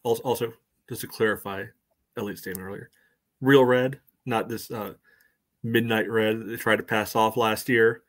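A man's voice: on the low side, fairly even intonation, moderate pace. A man in his thirties with an American accent, co-hosting a casual sports chat in a dry, understated way. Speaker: co-host. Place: room at home. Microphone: video call.

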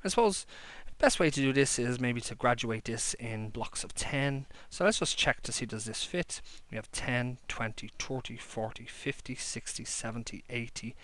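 Male maths tutor, mid pitch, dry close sound, talks steps through as he sketches a graph. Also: expressive, moderate pace, fairly bright tone, dry recording. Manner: talks while drawing, plain step-by-step explaining. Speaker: maths lecturer. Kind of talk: handwritten whiteboard-style maths lesson. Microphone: overhead camera above a desk.

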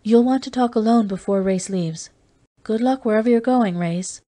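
Woman voicing a plump motherly lady, soothing, mildly scolding, raised pitch, warm tone, storybook. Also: moderate pace, lightly acted. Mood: kindly. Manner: soothing, gently rebuking.

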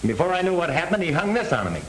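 An actor playing a polite, guarded young American chauffeur in a 1930s film, with a hissy soundtrack. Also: male voice, sits high, very animated, fast, fairly bright tone, low-quality recording. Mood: uneasy. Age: young adult.

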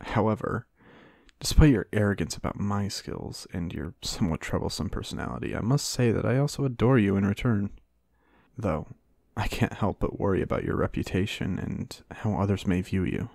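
Man playing a low-voiced, dutiful royal knight. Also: animated, moderate pace, creaky, darkish tone, no room sound, studio quality. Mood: fond, earnest. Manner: formal, earnest, courtly phrasing. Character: dutiful, flustered knight, devoted to his queen. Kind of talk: romantic fantasy audio roleplay, ASMR.

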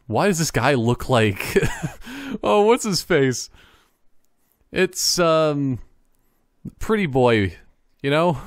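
A man giving casual gameplay commentary in a rambling, joking, halting way. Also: medium pitch, dry recording, studio quality. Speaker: gaming commentator.

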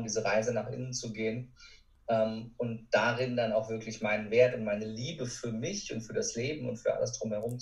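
A man's voice: medium pitch, a little mellow. A man giving earnest, reflective answers as a podcast guest, with emphatic repetition. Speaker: podcast guest, courage expert. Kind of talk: reflective conversational interview answers. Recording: roomy, distant from the mic.

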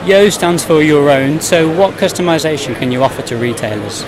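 A man's voice: on the high side, lively intonation, a little fast, poor audio. A man interviewing a guest for trade media, putting prepared questions at an exhibition. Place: busy exhibition hall. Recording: busy trade-show crowd noise. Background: crowd hubbub.

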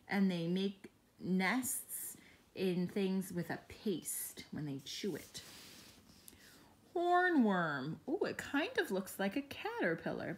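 A woman teacher reading a picture book aloud to young children, gently and expressively, with gasps and hushed whispers. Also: mid-range voice.

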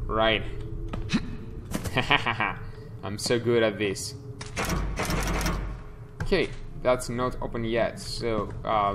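A young man commentating a horror game as he plays, thinking aloud and startling easily, on a headset mic. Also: mid-range voice. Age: young adult. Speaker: let's play gamer. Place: small room indoors. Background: game music.

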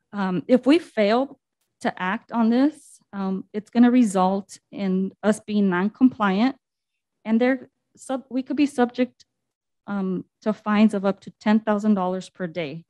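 Woman, city staff presenter, reads slides aloud, lots of ums, mid pitch, dry sound. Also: a little slow, a little mellow, dry recording. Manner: reads slide text aloud with frequent fillers. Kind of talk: reading slides at a council meeting.

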